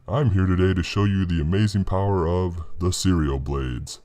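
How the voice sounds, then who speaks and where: A man voicing a masked killer as a falsely cheerful infomercial salesman, with a deep, distorted voice. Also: steady tone, normal speed, dark tone. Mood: cheerful sales pitch. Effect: distorted TV voice filter. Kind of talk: infomercial parody in horror narration. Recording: music behind.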